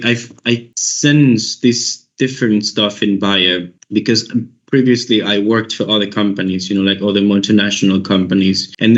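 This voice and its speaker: A young man from Costa Rica with a Costa Rican accent, a recruiter chatting casually on a video call, rambling with many restarts. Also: lowish voice. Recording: dull lo-fi call audio.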